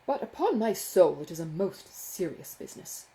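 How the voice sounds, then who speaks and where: A woman voicing a foppish, self-satisfied young gentleman in a classic novel, with an affected, gushing, condescending manner. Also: on the low side, very animated, normal speed, low-quality recording. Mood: mock concern. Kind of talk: dramatised audiobook dialogue from a classic novel.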